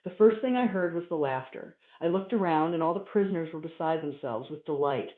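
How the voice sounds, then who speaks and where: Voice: medium pitch, animated, brisk. A person reading a humorous first-person story aloud as scripted narration. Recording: echoey room, thin phone-like sound.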